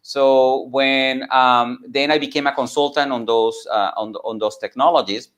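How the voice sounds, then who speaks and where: A man from Venezuela, a native Spanish speaker with a Venezuelan accent, telling his story informally as an entrepreneur and mentor, in an earnest, halting way that repeats phrases. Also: mid-range voice, steady tone, fairly bright tone. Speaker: entrepreneur and mentor giving a talk.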